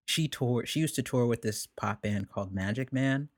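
A young man, a musician guest, telling stories in a relaxed, rambling way, with many "like"s and frequent laughs. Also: medium pitch, moderate pace, no echo, studio quality. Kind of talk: casual conversation.

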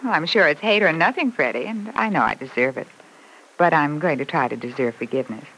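Wry guilty young lady in an old radio soap, swoopy pitch, faint noise. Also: expressive, a little fast, a bit bright. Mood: self-reproaching. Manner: arch, wry, wounded. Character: wry, self-reproaching young woman seeking forgiveness. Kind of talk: old-time radio serial acting. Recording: noisy old radio broadcast recording.

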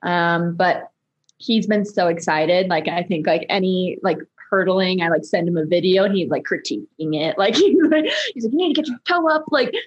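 A woman's voice: fairly low pitch, normal speed, muffled recording. A woman in her twenties chatting casually as a podcast guest, giggly and full of fillers. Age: 20s. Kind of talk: casual chat.

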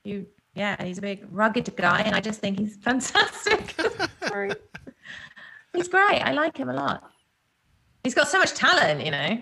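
A woman's voice: a little high, sing-song, unhurried, gravelly, sharp tone, slight background noise. A woman guest chatting on a podcast, giggly and chatty, often drifting off mid-thought. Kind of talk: casual chat.

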